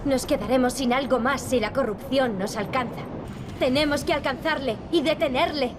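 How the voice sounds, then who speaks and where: Woman acting as serious young princess, castilian spanish, kinda high voice, game noise behind her. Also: normal speed, close to an everyday voice. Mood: determined. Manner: earnest, serious. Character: earnest, determined young princess, solemn and idealistic. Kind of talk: video game acting, European Spanish dub.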